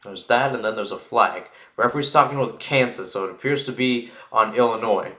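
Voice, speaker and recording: A man's voice: lowish voice, a little fast, bright, forceful tone, echoey room. A man giving live play-by-play football commentary in an informal way, thinking aloud and correcting himself as he goes.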